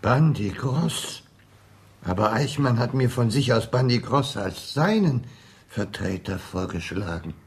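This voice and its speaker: An actor in a German radio play, playing an earnest, anxious envoy with a creaky voice and urgent manner. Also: male voice, medium pitch, lively intonation, unhurried. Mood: puzzled.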